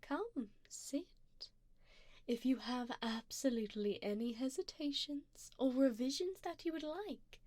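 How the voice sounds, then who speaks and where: Slow, whispery seductive vampire lady voiced by a woman. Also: high voice, a little mellow, no room sound. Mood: composed, persuasive. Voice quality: breathy, often dropping into whispers. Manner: slow, intimate, formal and coaxing. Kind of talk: ASMR roleplay. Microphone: close binaural microphone.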